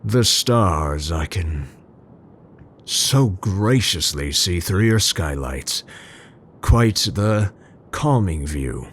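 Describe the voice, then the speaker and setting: Casting: male actor as an older, gentle scientist for ASMR roleplay. Low, slow, hesitant and formal, tenderly smitten. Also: slight background noise. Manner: halting, musing, formal, with hesitant stammers. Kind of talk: ASMR roleplay, intimate scripted monologue to listener.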